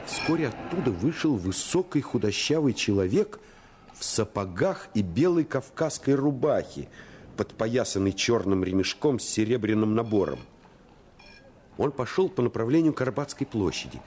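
An actor playing a storyteller who narrates a boyhood adventure in a suspenseful, scripted radio drama style. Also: male voice, mid-range voice, very animated, normal speed, darkish tone, breathy edge. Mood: suspenseful, urgent.

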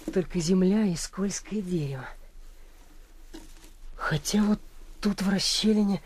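A cautious, nosy young boy in a radio drama. He mutters hesitantly to himself at a slow pace, in a breathy voice that often drops to a half-whisper. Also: mid-range voice, a little mellow. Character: curious, cautious young boy spying and wondering aloud. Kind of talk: radio play monologue.

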